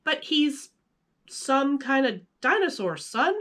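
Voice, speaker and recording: A kindly, bumbling cartoon dog father, put on by a woman reading a storybook. He talks in a drawn-out, folksy way, rising and falling animatedly, exasperated but soft at heart. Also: high voice, very animated, voice pushed up, full character voice. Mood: doubtful.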